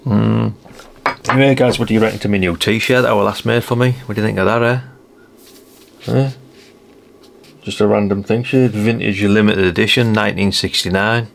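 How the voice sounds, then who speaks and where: British male hobbyist vlogger, fifties, gravelly low voice, rambling and informal, musing aloud with plenty of tag questions. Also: fairly even intonation, slight background noise. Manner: musing, unhurried, frequent tag questions and self-corrections. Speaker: radio hobbyist presenting a video. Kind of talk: casual rambling vlog chat.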